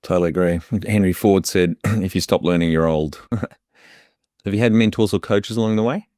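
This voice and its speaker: A man's voice: low voice, a little fast, dark tone, no room sound, clean studio sound. A man hosting a business podcast, asking brief questions and adding short affirmations. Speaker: podcast host. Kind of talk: interview questions.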